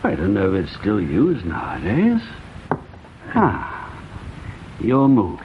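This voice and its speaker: A man's voice: lowish voice, huge pitch swings, slow, exaggerated voice. An actor playing an erudite, dryly witty older Englishman in a radio drama, musing pedantically in an English accent. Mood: genial, wry. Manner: pedantic, wry, leisurely musing. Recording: thin phone-like old radio recording, faint noise.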